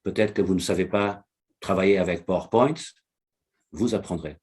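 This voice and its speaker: A man's voice: sits low, normal speed, a little mellow, husky. A man whose first language is Portuguese gives a motivational online presentation to his business network, repeating key phrases and checking in with listeners often.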